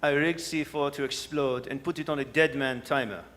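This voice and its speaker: A man's voice: mid-range voice, steady tone, normal speed, a bit bright, natural voice. A man playing a suave, scheming French villain with a French accent, courtly, wordy and sardonic, in a radio drama. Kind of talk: staged radio drama performance. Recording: live hall, long reverb, noisy.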